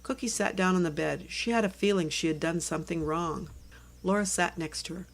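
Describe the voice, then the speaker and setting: A woman's voice: fairly low pitch, fairly quick, gravelly. A woman narrating a read-aloud children's book for early readers in short, separated sentences.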